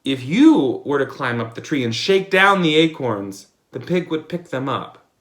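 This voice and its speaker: A man's voice: mid-range voice, very animated, a little slow, fairly bright tone. A man voicing a sensible young suitor from a fairy tale, emphatic and advising. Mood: helpful.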